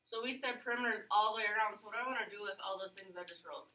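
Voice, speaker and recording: A woman's voice: medium pitch, rapid-fire, pushed and bright. A woman teaching a geometry class, upbeat and coaxing her students with questions. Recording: echoey classroom, mic at a distance.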